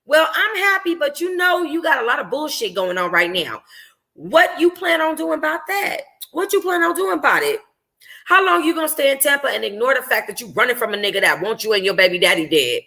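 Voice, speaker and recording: A woman voicing an outspoken young best friend in a novel, animated, slangy and blunt. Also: high-pitched, a little fast, voice pushed up, exaggerated voice. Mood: excited, challenging.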